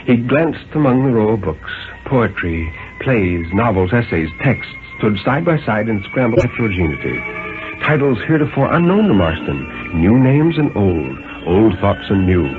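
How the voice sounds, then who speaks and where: A man narrating a story as a 1950s radio dramatic reading, in a theatrical, measured, suspenseful manner. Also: on the low side, sing-song, phone-quality sound. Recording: thin, band-limited 1950s radio recording with music.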